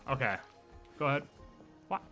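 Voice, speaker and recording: A man's voice: medium pitch, lively intonation, normal speed, sharp tone, soft background music. A man chatting casually as a game livestreamer, emphatic and slangy, often repeating his phrases.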